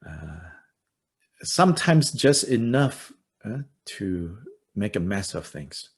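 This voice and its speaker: A man in his fifties from Malaysia with a Malaysian English accent, teaching and answering questions in a halting way, with many fillers and dry self-deprecating humor. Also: lowish voice, sing-song, breathy edge. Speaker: dharma teacher. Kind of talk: informal teaching and Q&A.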